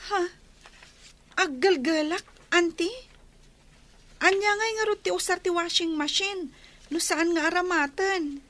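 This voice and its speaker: A woman's voice: extremely high pitch, measured pace, pauses often, a bit bright. A woman playing a dutiful, anxious young daughter in an Ilocano radio drama, earnest and pleading, with an Ilocano Filipino accent. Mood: meek, puzzled.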